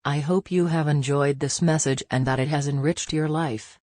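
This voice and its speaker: A woman's voice: deep voice, steady tone, moderate pace, darkish tone, soft background music. A woman announcer reading a scripted closing announcement.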